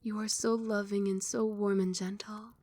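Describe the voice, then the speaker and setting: ASMR casting: a young woman as a tender, possessive vampire queen, soft soothing whisper, close mic. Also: medium pitch, flat delivery, normal speed, lightly acted. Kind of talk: ASMR roleplay.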